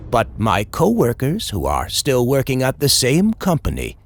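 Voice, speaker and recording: A man's voice: mid-range voice, huge pitch swings, unhurried, vocal fry. A man reading a scripted first-person scary story as a storyteller, in a dramatic, suspenseful manner.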